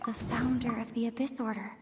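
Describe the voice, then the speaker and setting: A woman's voice: on the high side, fairly even intonation, brisk, recorded from a distance, heavy background noise, lightly acted. An actress playing a composed young woman traveler in a video game, speaking steadily and seriously.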